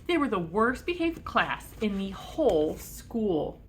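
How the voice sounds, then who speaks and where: A woman reads a children's story aloud like a teacher, adding little asides. Her medium-pitched voice moves up and down with lots of expression. There is faint noise in the background. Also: big pitch swings, slow. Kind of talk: expressive picture-book read-aloud with asides.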